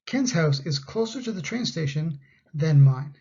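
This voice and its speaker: Husky Canadian man teaching English. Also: on the high side, animated, mellow, muffled recording. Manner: slow, carefully enunciated example sentences. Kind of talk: scripted grammar lesson.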